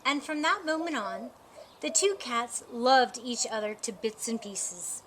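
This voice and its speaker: Woman storyteller, high voice, expressive storytime narration, some faint background noise. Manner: expressive, deliberate storytime delivery. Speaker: storyteller reading a picture book. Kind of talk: scripted children's story narration.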